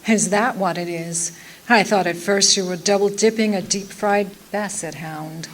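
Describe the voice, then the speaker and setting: A woman's voice: medium pitch, unhurried, close to an everyday voice. Female actor as noir femme fatale client, radio play. Mid-range voice, cool, coy, teasing. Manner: coy, teasing, self-possessed. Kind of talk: old-time radio noir acting.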